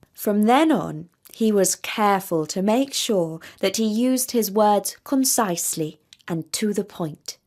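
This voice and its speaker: A woman storyteller narrating a scripted children's tale in measured, deliberate phrases. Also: mid-range voice.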